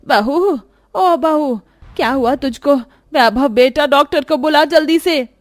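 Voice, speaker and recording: A woman's voice: fairly high pitch, sing-song, measured pace. A woman voicing a stern older mother-in-law who barks orders when alarmed. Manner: alarmed, commanding.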